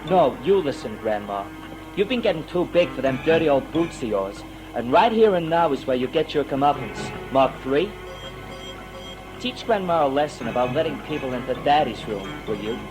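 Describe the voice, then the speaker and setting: A man's voice: medium pitch, very animated, normal speed, lightly acted, rough recording. A man playing a bullying, cocky son with a drawl in an audio drama. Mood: menacing. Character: bullying, cocky undead son who plays scientist. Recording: music under the voice.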